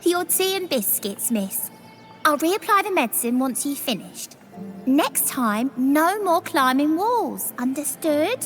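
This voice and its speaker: Patient, unhurried female servant. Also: sits high, big pitch swings, faint music behind, exaggerated voice. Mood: gently scolding. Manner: soothing, gently admonishing, patient. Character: gentle, caring female servant minding a young miss. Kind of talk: video game voice acting.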